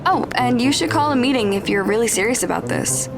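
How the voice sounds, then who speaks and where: Woman as a brash, very high-pitched schoolgirl. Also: lively intonation, fairly quick, over music. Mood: upbeat. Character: brash, pushy, huffy teenage schoolgirl. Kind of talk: audio drama acting.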